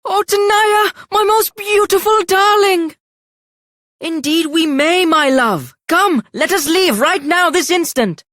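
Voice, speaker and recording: A woman's voice: very high voice, slow pace, fairly bright tone, no room sound, clean studio sound, exaggerated voice. A woman playing an earnest, devoted young lover in a video game, speaking in formal, effusive phrases. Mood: adoring. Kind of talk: theatrical video game voice acting.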